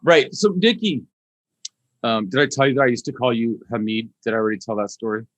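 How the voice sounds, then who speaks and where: A man's voice: medium pitch, lively intonation, a little fast, no room sound. A man hosting a podcast in a casual, informal interview style with a playful, jokey manner.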